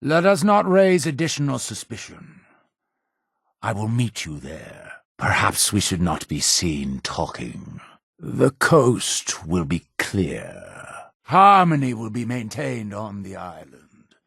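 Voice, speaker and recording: A man's voice: lowish voice, sing-song, slow, vocal fry, fairly bright tone, breathy edge, no echo, clean studio sound, heavily acted. An actor playing a zealous, imperious cult leader in a video game, preaching to his flock in a solemn, grandiose manner. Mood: measured, guarded. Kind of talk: theatrical video game character dialogue.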